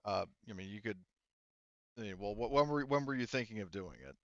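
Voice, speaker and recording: Smooth courteous colonial official, acted by a man. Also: on the low side, animated, a little fast, a bit bright, no echo, muffled recording. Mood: courteous, approving. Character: agreeable male colonial supervisor, courteous and official.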